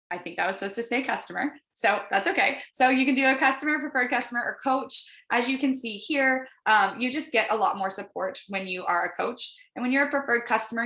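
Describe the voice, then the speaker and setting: A woman with a bright, forward voice gives an informal coaching pitch over a video call. She talks in a chatty, encouraging way and leans on her points. The sound is roomy, with audible echo around her voice. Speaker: wellness sales coach presenting to a group.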